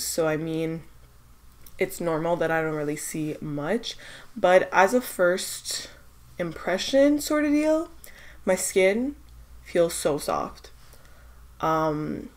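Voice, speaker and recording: A young woman vlogging about skincare samples, stuffed up from a cold, chatty and hesitant with lots of fillers as she thinks aloud. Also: fairly low pitch. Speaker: beauty vlogger. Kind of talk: casual product-testing vlog.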